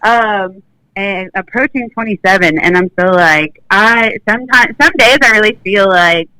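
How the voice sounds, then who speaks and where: A woman's voice: mid-range voice, unhurried, sharp tone, smooth, dry recording, rough recording. A woman in her twenties calling in to a show, chatting casually and filling her talk with "like". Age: 20s. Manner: chatty, full of "like". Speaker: caller. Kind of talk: casual phone-in chat.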